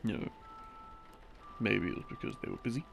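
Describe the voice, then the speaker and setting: A man telling lore as a soft, rambling sleep-aid story, breathy and often sinking into a murmur, in halting short phrases. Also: on the low side, wildly swooping pitch. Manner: halting, broken into short phrases with long pauses. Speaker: audio roleplay narrator, playing a boyfriend explaining game lore. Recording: music behind the voice. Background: soft background music.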